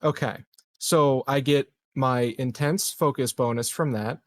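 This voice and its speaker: A man playing a tabletop roleplaying game, chatting casually and hesitantly as he thinks out loud. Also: medium pitch, measured pace.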